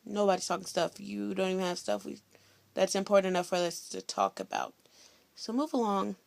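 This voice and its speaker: Sassy teenage girl with vocal fry. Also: mid-range voice, animated, brisk, dry recording. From Indiana, USA. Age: teens. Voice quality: loud voice. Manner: blunt, sassy, chatty with slang. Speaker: vlogger. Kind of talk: casual storytelling vlog.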